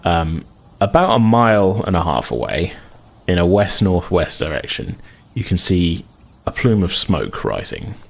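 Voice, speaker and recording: A deep-voiced man acts as game master for a tabletop role-playing session. He builds his descriptions on the fly, often doubling back to rephrase them in a halting, conversational way. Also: big pitch swings, creaky.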